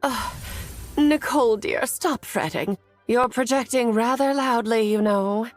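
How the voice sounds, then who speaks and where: A woman voicing an elegant, whimsical, self-assured sorceress in an anime game, breezy, genteel and gently teasing. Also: a little high, a little slow, no echo, soft background music, close to an everyday voice. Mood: gently chiding.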